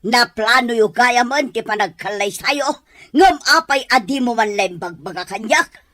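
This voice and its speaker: A woman's voice: on the high side, lively intonation, a little slow, a bit bright. A woman playing a stern, disapproving middle-aged mother who scolds and accuses, in an Ilocano radio drama. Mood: accusing.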